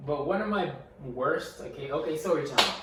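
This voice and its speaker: A man's voice: a little high, lively intonation, moderate pace, a bit bright. A young man co-hosting a casual podcast, telling a story animatedly and stuttering and repeating words. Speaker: podcast co-host. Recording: echoey room, mic far off, faint noise.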